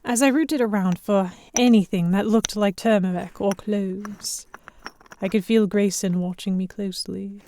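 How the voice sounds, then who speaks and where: A young, observant heroine played by a woman tells a pirate tale in the first person. Her voice is mellow and medium in pitch. The delivery is measured, literary scripted narration for an audio drama. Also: fairly even intonation, a little hiss or hum, lightly acted. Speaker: narrator and lead actor.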